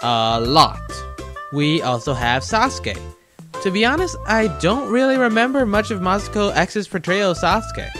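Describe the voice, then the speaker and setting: Animated man doing casual review commentary. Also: high-pitched, normal speed. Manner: wry, conversational, rhetorical asides. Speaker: host of a countdown review video. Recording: music behind the voice. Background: guitar music.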